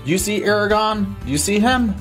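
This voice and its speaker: A man's voice: sits high, animated, brisk, a bit bright. A man giving a joking mock lecture on a group stream, crude and giggly. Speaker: streamer giving a joke slideshow talk. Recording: music playing behind.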